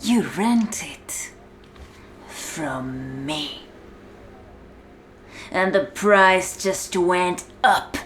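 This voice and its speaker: Woman playing domineering female warden, ASMR roleplay, lowish pitch, extremely unhurried, condescending, commanding, whispery drops. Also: lively intonation, a bit bright, slight background noise, exaggerated voice. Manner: slow, deliberate, condescending, dropping into whispers. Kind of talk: ASMR-style roleplay monologue spoken to the listener.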